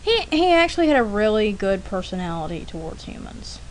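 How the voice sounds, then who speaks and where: A woman casually telling stories as she narrates an art demonstration, unscripted and rambling, with halting self-corrections. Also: mid-range voice, very animated. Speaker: artist narrating a drawing demonstration. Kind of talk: casual unscripted storytelling over an art demo. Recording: clearly noisy homemade recording.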